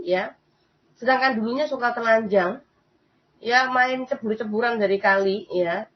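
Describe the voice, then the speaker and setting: Female host, casual chatty commentary, keeps addressing listeners, muffled recording. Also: on the high side. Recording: dull lo-fi sound.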